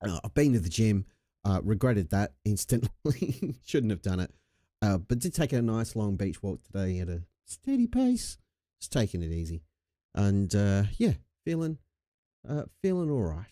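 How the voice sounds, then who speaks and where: Deep, mellow-voiced Australian man chatting casually. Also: big pitch swings, normal speed, no room sound, studio quality. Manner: laid-back, jokey, slangy and sweary. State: recovering from a chest infection with a lingering cough. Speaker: livestream host. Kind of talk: casual livestream chat.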